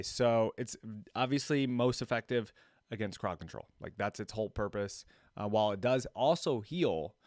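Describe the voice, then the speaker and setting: A man hosting a reaction video, commenting casually, reading things out and talking directly to his viewers. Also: medium pitch. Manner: conversational, addresses viewers directly. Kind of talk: casual commentary, reading on-screen text aloud.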